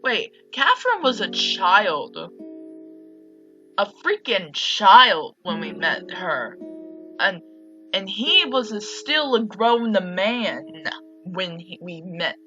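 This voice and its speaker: Girl doing a casual lets play, bright voice, slangy and expressive, dull mic with music behind. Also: medium pitch, slow pace. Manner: expressive, slangy, baffled reacting. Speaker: gamer playing a visual novel. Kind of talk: casual let's play commentary.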